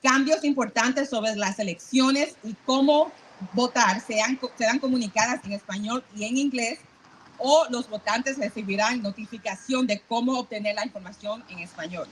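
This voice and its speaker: A woman lawyer giving a formal, measured press statement at a rally. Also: a little high, slight background noise, rough recording. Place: outdoor rally.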